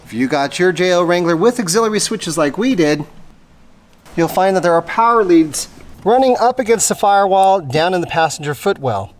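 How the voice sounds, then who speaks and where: A man's voice: high-pitched, animated, moderate pace. A man hosting a casual how-to, explaining an installation step by step in a plain-spoken way, as he demonstrates. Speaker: host of a vehicle installation how-to. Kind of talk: casual hands-on tutorial narration. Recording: clear background ambient noise. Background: ambient noise.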